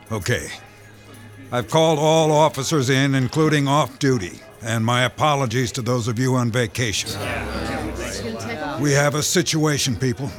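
A man's voice: mid-range voice, natural voice. An actor playing a commanding male police captain who firmly briefs a room of officers. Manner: firm, addressing a room of officers. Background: music.

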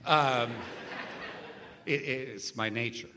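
A man with a loud, carrying voice talking conversationally as a guest commentator before a live audience. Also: mid-range voice, very slow, noisy background. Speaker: radio show guest and political commentator. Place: large room with a live audience. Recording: reverberant live stage hall.

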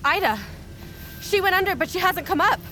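A woman's voice: very high voice, expressive, brisk, pushed and bright, faint music behind, full character voice, low-quality recording. An actress playing an alarmed young woman, a wary and desperate friend, in an audio drama. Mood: panicked.

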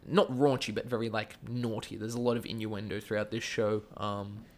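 A man's voice: fairly low pitch, little pitch movement, moderate pace, a little hiss or hum. A man with a watered-down Australian accent, chatting as a podcast guest about his acting and the school theatre program he runs, rambling with plenty of fillers. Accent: Australian, softened. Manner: rambling, with many fillers and restarts. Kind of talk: casual podcast chat.

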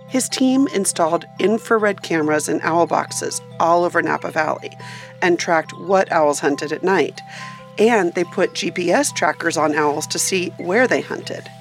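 A woman reporter reading scripted narration for a radio feature. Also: on the low side.

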